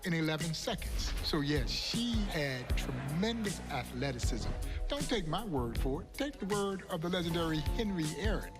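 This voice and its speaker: Emphatic American storyteller, higher-pitched man, over music. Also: big pitch swings, moderate pace. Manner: emphatic, folksy oratory. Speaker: baseball museum storyteller. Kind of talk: documentary narration, storytelling. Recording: music bed under the voice. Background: loud background music.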